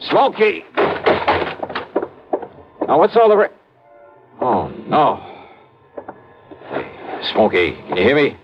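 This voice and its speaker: An actor playing a brisk, wry, tough male insurance investigator in a 1950s radio detective drama, with clipped speech. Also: mid-range voice, huge pitch swings, a little hiss or hum, exaggerated voice. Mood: alarmed, grim. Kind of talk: 1950s radio detective acting and first-person narration. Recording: dull vintage radio recording, prominent music.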